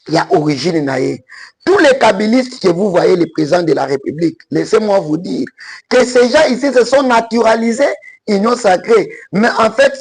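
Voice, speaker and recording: A man's voice: sits high, breathy edge, poor audio. A Congolese man with a Congolese French accent, giving emphatic political commentary in French and Lingala. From Congo (DRC).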